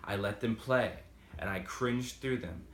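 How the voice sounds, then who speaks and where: A man's voice: lowish voice, measured pace, echoey room, a little hiss or hum. A man with a slight Boston accent talking casually and rambling with many fillers in a motivational vlog. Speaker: vlogger. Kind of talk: casual motivational talk to camera.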